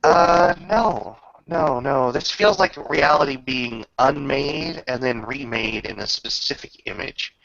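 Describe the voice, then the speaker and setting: A man improvising dramatic, descriptive narration as game master of a tabletop roleplaying game, pausing between phrases. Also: medium pitch, creaky, a bit bright, lo-fi sound.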